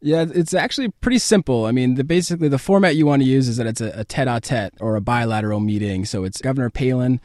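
A man's voice: mid-range voice, fast talker, hardly any pauses, darkish tone, no echo, studio quality. A man with an American accent giving conversational answers as an interview guest. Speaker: interview guest, political campaign advance man.

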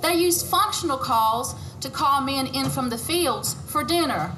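A woman giving a deliberate, presentational demonstration of hollering calls, with explanations in between. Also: sits high, animated, soft background music. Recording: loud noisy ambient archive clip.

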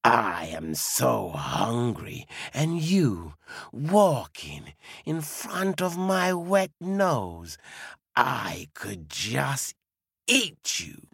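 A man voicing a hungry, sly male wolf in a slow, low, menacing drawl. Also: big pitch swings, gravelly, a bit bright, husky, dry recording, clean studio sound, heavily acted.

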